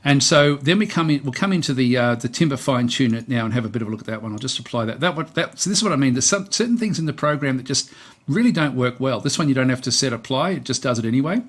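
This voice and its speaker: A man giving a casual, unscripted software tutorial, hesitant and thinking aloud as he presents. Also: mid-range voice, lively intonation, fast talker.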